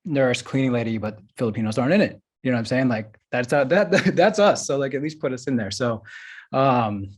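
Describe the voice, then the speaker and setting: A man's voice: mid-range voice, expressive, fairly quick, husky. Chatty man on a panel. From United States. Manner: loose and chatty, full of "like" and "you know what I'm saying". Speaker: filmmaker, panelist. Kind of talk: casual panel discussion.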